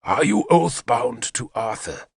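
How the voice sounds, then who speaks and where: A man's voice: medium pitch, animated, unhurried, creaky, husky, dry recording, studio quality, heavily acted. A man voicing an imperious, vengeful warlord king in a menacing, declamatory manner, as in an audiobook.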